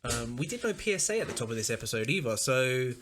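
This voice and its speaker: A young man co-hosting a podcast, easygoing and quick to laugh, speaking rather quietly. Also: mid-range voice, very animated, music in the background. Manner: easygoing, laughs often, talks quietly into the mic. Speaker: podcast co-host. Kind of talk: casual chat.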